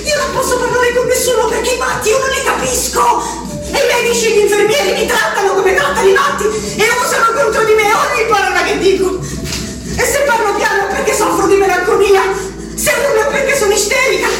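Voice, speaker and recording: An actress playing a woman locked in an asylum, writing an angry, pleading letter to her husband, speaking quietly at times and shouting at others. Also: extremely high pitch, a little fast, distant mic. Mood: desperate. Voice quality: shifts from quiet reading to shouting. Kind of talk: radio drama acting. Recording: roomy radio broadcast with music, voice a bit off mic.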